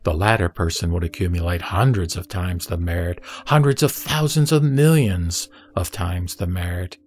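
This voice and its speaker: A man playing the Buddha as a serene teacher, speaking in a measured, solemn way in an audio drama of scripture. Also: low-pitched, darkish tone, close to an everyday voice. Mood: calm, instructive. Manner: measured, solemn, didactic delivery. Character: the Buddha, serene male teacher instructing a disciple. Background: background music.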